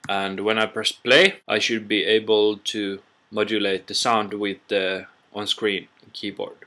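A man presents a casual software tutorial in a low, unhurried voice with a bright, hard edge. He goes step by step and halts often. The sound comes from a built-in computer microphone. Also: level tone. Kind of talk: informal software tutorial narration.

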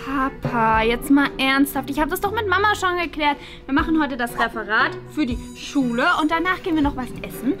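A woman's voice: high-pitched, lively intonation, a little fast, hardly any pauses. A young woman playing a sulky, exasperated teenage daughter in a comedy sketch. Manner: huffy, exasperated. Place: indoor office kitchen and lounge set. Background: music.

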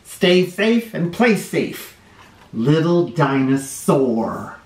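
A middle-aged man hosting a children's read-aloud, reading a storybook expressively with drawn-out phrasing. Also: a little high, sing-song. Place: small bright living room or playroom, indoors. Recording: roomy indoor echo.

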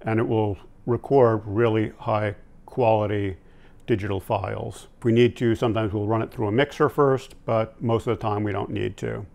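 A man in his sixties with a lowish, creaky voice gives an informal, unscripted demonstration. He talks conversationally, pausing and doubling back on his phrases as he explains. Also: fairly even intonation, darkish tone. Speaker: audio-visual technician and product reviewer presenting vintage tape gear. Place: indoor room at home, seated at a table.

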